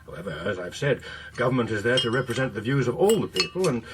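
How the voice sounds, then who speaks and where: An actor playing a suave, unflappable veteran British politician in a radio drama, measured, formal and drily witty. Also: male voice, mid-range voice, big pitch swings, noisy background, natural voice. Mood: guarded, measured.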